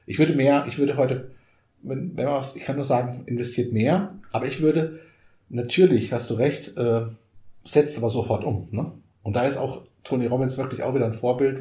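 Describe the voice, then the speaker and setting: A man whose first language is Portuguese, a coaching expert telling stories in a conversational podcast, animated and often adding a quick "ne?". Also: medium pitch. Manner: animated storyteller, frequent tag "ne?". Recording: roomy echoey room.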